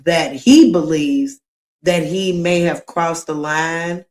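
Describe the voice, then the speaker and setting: A woman's voice: sits low, a little mellow. A woman livestreaming, giving casual commentary and talking straight to her viewers. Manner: slow, drawn-out talk, addressing viewers directly.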